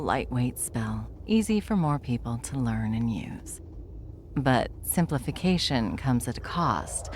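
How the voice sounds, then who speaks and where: A woman's voice: extremely low pitch, slight background noise, full character voice. A woman playing an ancient, deathless spellcaster, wry and self-assured, lecturing theatrically in a fantasy roleplay audio drama. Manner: theatrical, wry, leisurely lecturing with breathy asides.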